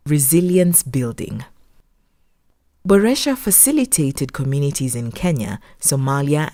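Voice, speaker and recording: A woman narrates a documentary from a script in a low voice with lively rises and falls. The tone is mellow and soft-edged, and the recording is very dry. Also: no echo.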